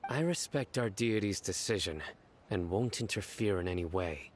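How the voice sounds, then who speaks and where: A man's voice: fairly low pitch, animated, normal speed, a little hiss or hum, close to an everyday voice. A man playing a stern, dutiful, reserved law enforcer in a video game, grave and formal. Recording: game music under the voice.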